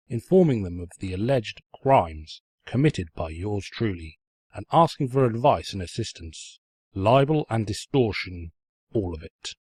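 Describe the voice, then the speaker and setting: Casting: male story narrator, raspy, mock-pompous and sardonic, very dry close recording. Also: on the low side, a little mellow, no echo. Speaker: narrator, fan fiction reader. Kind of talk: theatrical scripted story narration.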